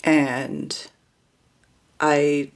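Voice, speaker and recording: A woman with a low, slow voice speaks in a steady monotone that rarely rises or falls. She hesitates often, with fillers and restarts, as she casually introduces herself to her viewers. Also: gravelly. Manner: monotone, flat delivery.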